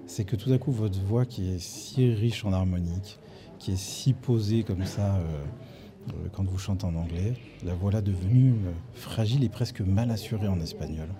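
A man's voice: on the low side, normal speed, a crowd in the background. A man interviewing a singer, asking long, reflective and detailed questions about her voice. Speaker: radio interviewer.

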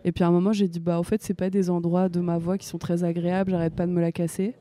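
A woman's voice: on the low side, level tone, fast talker. A young woman from France, a singer-songwriter, speaks casually and a little hesitantly in an interview, with frequent fillers and restarts. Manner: soft-spoken and hesitant, with many fillers and restarts.

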